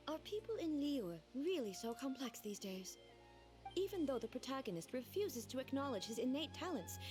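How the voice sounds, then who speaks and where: A woman voicing a composed, formal female ruler who speaks in measured sentences. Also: sits high. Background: game music.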